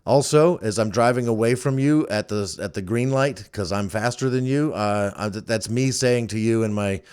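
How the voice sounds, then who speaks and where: Male podcast host, casual solo talk, deeper register, clean resonant tone. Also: normal speed, a little mellow, smooth.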